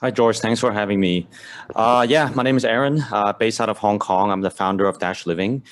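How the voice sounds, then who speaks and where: A man with a very deep voice introduces his company on a call, with a dry, close sound. He pauses often with uhs. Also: no room sound. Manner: hesitant, many uhs. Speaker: panelist, company founder. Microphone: video call.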